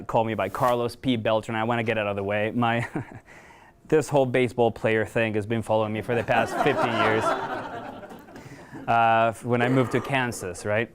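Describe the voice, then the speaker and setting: A man's voice: mid-range voice, fairly even intonation, normal speed, clean tone. A man from Venezuela, giving a conversational, self-deprecating conference talk in a lecture room, with frequent fillers. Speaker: guest speaker, video journalist.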